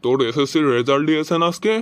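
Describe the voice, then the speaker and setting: A man's voice: on the high side, racing, clean tone, no echo, close to an everyday voice. A man voicing a grumbling, sarcastic middle-aged father and husband in a comedy cartoon dub. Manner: sarcastic, lecturing.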